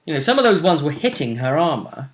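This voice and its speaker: A man's voice: on the high side, big pitch swings, fast. A man, a game master, casually recapping a tabletop role-playing match in a rambling, storytelling way. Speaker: game master and show host.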